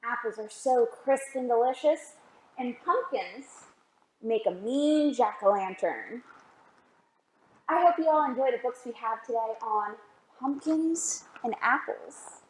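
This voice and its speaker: A woman reading a picture book aloud for a children's storytime, expressive and often asking the listeners questions. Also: on the high side, takes it slowly, echoey room, a little hiss or hum, poor audio. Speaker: library storytime reader.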